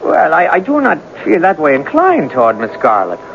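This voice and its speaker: An actor in a 1970s radio drama playing a blunt, stubborn, quick-tempered suitor who always sounds put upon. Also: male voice, fairly high pitch, huge pitch swings, gravelly, fairly bright tone, full character voice. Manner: blunt, dogged, aggrieved. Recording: muffled 1970s radio recording, light hiss.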